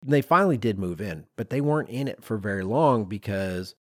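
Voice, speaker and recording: A man with a lowish voice hosts a design talk in a casual, storytelling manner. His pitch moves around a great deal as he explains and acts out little asides. The sound is dry and close. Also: a little fast, no echo. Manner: informal, animated storytelling with acted-out asides. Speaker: podcast host. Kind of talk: conversational explainer of design history.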